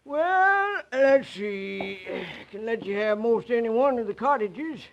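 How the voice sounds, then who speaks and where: An actor playing a sleepy, folksy old American night manager, hesitant and drowsy, in a vintage radio drama. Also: male voice, extremely high pitch, expressive, slow pace, exaggerated voice. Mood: drowsy, obliging. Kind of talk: 1950s radio drama acting. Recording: dull old radio recording.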